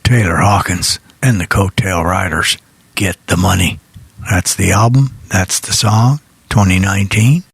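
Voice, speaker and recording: Husky low-voiced radio man. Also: a little slow, no room sound. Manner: rambling, folksy, repeats phrases. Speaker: internet radio show host. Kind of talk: casual, ad-libbed rock radio DJ chat. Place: radio studio.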